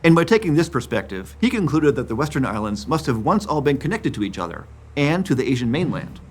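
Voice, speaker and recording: A man in his fifties giving scripted, clear documentary narration about science. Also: mid-range voice, sing-song, quick pace. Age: 50s. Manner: clear, explanatory.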